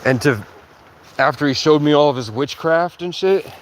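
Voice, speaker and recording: A sleep-deprived man livestreaming outdoors in a rural area, rambling in a halting way with many restarts. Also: fairly high pitch, a little hiss or hum. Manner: halting, restarts often, heavy use of "like". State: sleep-deprived after hours of talking. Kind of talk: rambling casual monologue.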